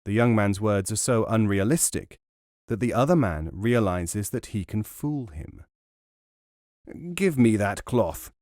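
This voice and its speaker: A man reading an essay as a scripted audiobook narration, in a measured, formal way. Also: lowish voice, darkish tone, no room sound, studio quality.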